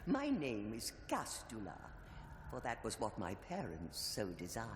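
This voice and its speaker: In a cavernous, reverberant hall, a suspicious, theatrical sibling speaks in archaic, menacing phrases. The delivery is slow and swoops up and down, full of bitterness, like scripted dark fantasy game dialogue. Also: male voice, medium pitch, huge pitch swings. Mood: grandly welcoming. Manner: archaic, grandiose, menacing diction. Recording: echoing hall, long reverb, faint hiss.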